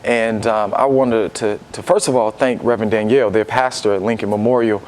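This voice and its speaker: A man's voice: fairly low pitch, fairly even intonation, a little fast, a little mellow. An American man giving earnest, reflective interview answers, building his points step by step as a guest. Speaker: guest, ministry founder and book-drive leader.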